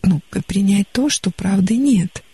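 Husky gravelly woman counselling on radio. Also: mid-range voice, measured pace. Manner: calm, gently advising, many restarts. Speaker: radio psychologist. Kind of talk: call-in counselling. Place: radio studio.

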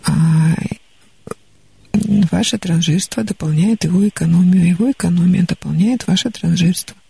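A woman psychologist counselling a caller on a studio radio call-in show, calm and gently advising. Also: lowish voice, normal speed, gravelly, husky, no echo.

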